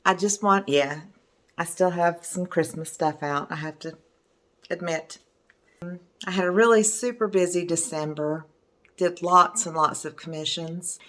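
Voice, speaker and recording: Woman, hoarse, middle-aged, unhurried, chatty and enthusiastic, art teacher at home. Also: fairly low pitch, a bit bright. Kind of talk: casual video talk showing artwork. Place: her home in the evening.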